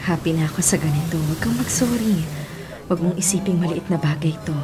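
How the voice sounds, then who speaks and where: A woman's voice: fairly low pitch, fast, lightly acted. A young woman with a Filipino accent acting in a radio drama as a patient, supportive girlfriend, caring and sincere. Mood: tender, content. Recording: music under the voice.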